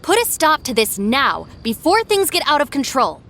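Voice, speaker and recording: A woman's voice: sits high, wildly swooping pitch, moderate pace, fairly bright tone, full character voice. A woman playing a sensible, stern young gang deputy in an anime game, speaking in a firm, no-nonsense way. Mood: exasperated.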